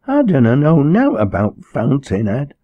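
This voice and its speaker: A man's voice: lowish voice, measured pace, gravelly, slightly rough, dry recording, phone-quality sound, pitched above the performer's own voice, exaggerated voice. A man voicing a frightened, weak young working-class mother in an audiobook, faltering and aggrieved in a Lancashire accent. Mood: aggrieved, whimpering. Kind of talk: audiobook character dialogue.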